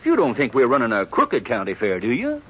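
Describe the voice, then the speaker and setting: A man's voice: mid-range voice, brisk, dull audio, over loud music. An American man playing a flustered, wheedling small-town undertaker in a 1950s Western radio drama, stammering and rustic as he sweet-talks. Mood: earnest, coaxing. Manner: stammering, rustic, excitable, persuasive. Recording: 1950s radio recording with faint noise.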